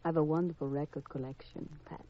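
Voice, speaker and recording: An actress playing a flirtatious, worldly and evasive femme fatale in a 1950s radio crime drama, coy and teasing, with deliberate hesitations. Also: female voice, sits low, steady tone, natural voice. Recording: dull vintage radio recording.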